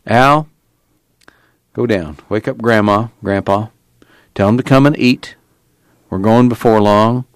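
A man's voice: fairly low pitch, takes it slowly, pauses often, clear, resonant tone, no echo. A man voicing an anxious farm father in an audiobook, urgent and exasperated, speaking in folksy rural dialect. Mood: brisk.

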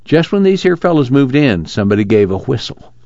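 A man's voice: medium pitch, very animated, a little fast, darkish tone, no echo, lo-fi sound, pitched above the performer's own voice. A man doing an eager young camp guard.

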